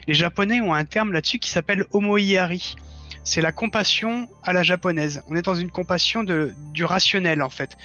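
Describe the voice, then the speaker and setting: Man, slightly high pitch, earnest casual explainer, muffled lo-fi stream audio, light music behind. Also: sharp tone. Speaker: interview guest, fiction writer. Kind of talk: casual reflective conversation. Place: live online stream. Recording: dull lo-fi voice link.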